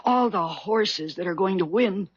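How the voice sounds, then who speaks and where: An actress playing a desperate, scheming young woman in a 1970s radio drama, with intense, halting insistence and a husky voice. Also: mid-range voice, no room sound. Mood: feverish, insistent. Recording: muffled old radio broadcast.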